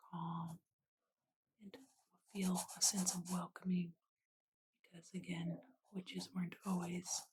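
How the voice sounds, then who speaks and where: A woman whispering gently and unhurriedly in a breathy, soothing ASMR style as she narrates her drawing. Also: lowish voice. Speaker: ASMR artist and host. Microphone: USB desktop microphone. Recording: noisy close mic, clicks and writing.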